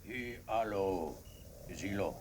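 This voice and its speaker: Very deep, slow, menacing warrior. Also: male voice, a little mellow. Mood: grim. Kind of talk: old-time radio drama acting. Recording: old radio recording, faint noise.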